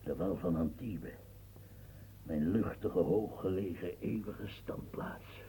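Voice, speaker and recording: A man's voice: low voice, slow pace, dark tone, a little hiss or hum. An actor playing an aging French statesman near death, feverish and rambling, stammering as he talks. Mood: dying whisper. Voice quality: gravelly, stammering. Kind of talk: radio play acting. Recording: tinny lo-fi old radio recording.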